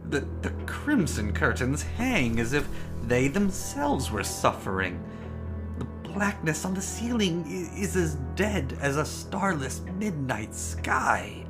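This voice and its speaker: Haughty gothic prince, commanding yet fearful, very animated pitch, music underneath. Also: male voice, takes it slowly, full character voice. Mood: uneasy. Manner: imperious, stammering in dread. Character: haughty, frightened prince, imperious and indignant. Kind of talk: gothic audio drama acting. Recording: music behind.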